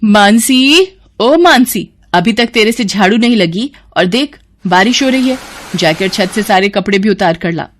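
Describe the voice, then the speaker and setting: Woman putting on a harsh, scolding aunt, voice raised high. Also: lively intonation, unhurried. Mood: bossy, irritated. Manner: sharp, scolding, commanding. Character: harsh, scolding cruel aunt ordering her orphan niece around.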